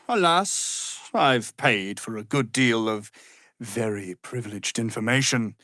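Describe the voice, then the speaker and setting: A man's voice: mid-range voice, animated, takes it slowly, bright, forceful tone, no room sound, clean studio sound. An actor playing an arrogant, grandiose male wizard in a fantasy video game, with a pompous, condescending, theatrical manner. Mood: smug, condescending.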